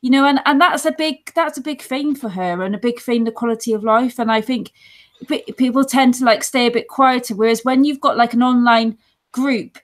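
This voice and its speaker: A woman's voice: a little high, fairly quick. A woman, chatting earnestly on a video call from home, rambling and restarting as she tells stories. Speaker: guest on support show. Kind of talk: casual video-call chat. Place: dim room at home. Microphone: earphone headset on video call.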